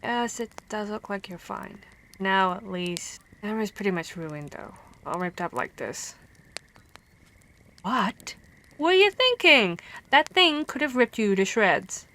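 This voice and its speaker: A woman's voice: mid-range voice, unhurried. Woman acting an exasperated, caring vampire hunter. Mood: scolding, worried. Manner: expressive, stammering, exasperated. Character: female vampire hunter, scolding but caring comrade. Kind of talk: ASMR roleplay acting.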